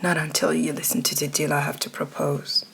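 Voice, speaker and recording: A woman voicing a domineering, smug male boss in a hushed, breathy, commanding manner for an ASMR roleplay. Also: low voice, very animated, a little fast, voice pushed down, full character voice. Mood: smug, controlled.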